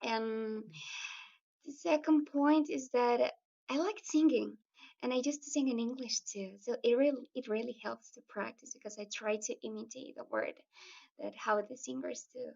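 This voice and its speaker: A woman's voice: fairly high pitch, moderate pace, gravelly, a little mellow. A woman who tutors children, talking at length in a casual video call, earnest and restarting her phrases. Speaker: student and children's language tutor. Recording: distant muffled video-call audio.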